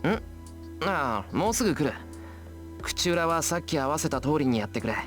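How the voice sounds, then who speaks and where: A man's voice: on the high side, very animated, fast talker, a bit bright, soft background music. A man playing an earnest, plain-spoken teenage schoolboy in a Japanese visual novel. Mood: casual.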